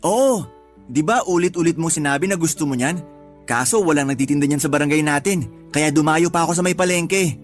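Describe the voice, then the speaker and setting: A put-upon young tricycle driver, acted by a man with a fairly high voice. He is doting yet exasperated, pleading his way through a radio love drama. Light music plays underneath. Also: fairly quick, lightly acted. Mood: cheerful, doting. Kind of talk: Tagalog radio drama acting.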